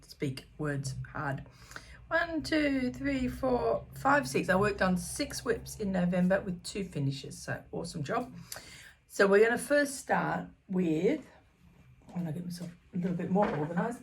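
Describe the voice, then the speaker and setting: A woman in her fifties chatting casually to camera about her stitching, scattered and full of ums, her voice slightly croaky. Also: lowish voice, slight background noise. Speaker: cross stitch vlogger. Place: small room at home.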